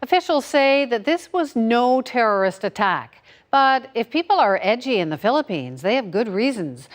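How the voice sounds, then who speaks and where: A woman anchoring a national newscast, reading the script in a crisp, measured way. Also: a little high, sing-song. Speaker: news anchor.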